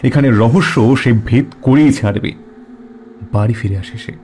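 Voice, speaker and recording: A man's voice: medium pitch, a little slow, darkish tone, faint music behind. A man narrating a scripted suspense story in a dramatic storytelling style.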